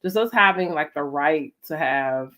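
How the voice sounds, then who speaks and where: A woman's voice: deep voice, lively intonation, unhurried, fairly bright tone. A woman with an American accent hosting a casual interview, talking conversationally with lots of "like" and "you know". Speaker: host of a family storytime interview.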